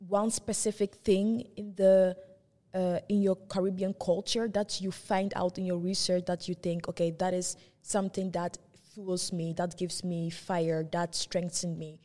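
A woman interviewing a guest, encouraging and halting, often repeating words as she forms her questions. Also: lowish voice, level tone, dark tone, long reverb tail. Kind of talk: conversational interview.

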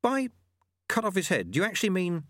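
A man's voice: sits high, huge pitch swings, a little fast, a bit bright, no room sound, studio quality, pitched above the performer's own voice, exaggerated voice. A man voicing an earnest, bewildered young male apprentice in an audiobook, questioning and incredulous. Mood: puzzled.

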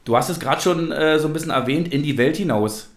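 A man's voice: a little high, a little fast. A man from East Germany hosting a casual football podcast, talking in a rambling, reminiscing way. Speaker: podcast host. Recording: roomy, echoey sound.

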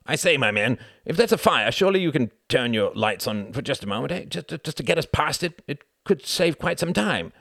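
A man voicing a pompous, impatient, portly older gentleman in a storybook narration, indignant and blustering in upper-class phrasing. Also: medium pitch, sing-song, brisk, creaky, hardly any pauses, slightly rough, dry recording, studio quality, voice pushed up, exaggerated voice. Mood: impatient pleading.

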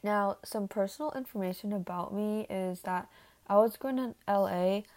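A woman's voice: medium pitch, darkish tone. Earnest teenage girl sharing her story. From Taiwan. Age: teens. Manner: earnest, slightly halting with fillers. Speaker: student speaker for a youth nonprofit. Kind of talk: prepared personal talk.

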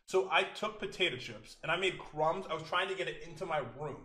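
Loud, animated young American man. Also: fairly high pitch, brisk. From United States. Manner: animated, laughs often, acts out quotes; says he has a loud voice. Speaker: podcast co-host. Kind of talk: casual storytelling chat.

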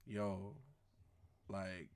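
A man's voice: sits low, flat delivery, very slow, gravelly, a little mellow. A man co-hosting a podcast in casual chat, talking in a laid-back, slangy way and drawing out his phrases. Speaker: podcast host.

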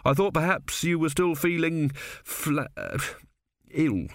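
Man as a hesitant, worried father, lifted pitch. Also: very animated, no echo, clean studio sound, exaggerated voice. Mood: worried, groping. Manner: halting, concerned. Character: hesitant, astonished father, head of a builder family.

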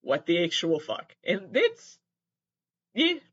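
A man giving casual comedic commentary as he reads messages aloud, halting and sweary, with exasperated asides. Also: high voice, wildly swooping pitch, fairly bright tone, breathy edge, lo-fi sound.

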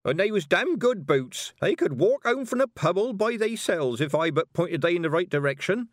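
A man's voice: high voice, animated, normal speed, no echo, clean studio sound, pitched above the performer's own voice. A man voicing a very old village man, complaining in a rustic dialect. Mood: grumbling. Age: older. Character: grumbling oldest man in the village.

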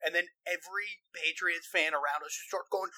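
A man's voice: a little high, steady tone, brisk, pushed and bright. A young man co-hosting a casual sports podcast, a teasing storyteller who laughs into his lines. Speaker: podcast co-host.

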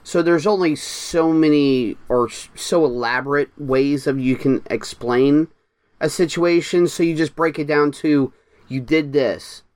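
A man co-hosting a casual podcast, talking earnestly as a parent of a young son, with quick bursts and restarts. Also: a little high, darkish tone. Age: young adult or middle-aged, parent of a six-year-old. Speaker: podcast co-host. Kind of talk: casual chat.

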